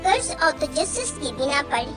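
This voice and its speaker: A woman with a high voice tells a fairy tale. She reads it as scripted storytelling. Music plays clearly behind her. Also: sing-song, normal speed.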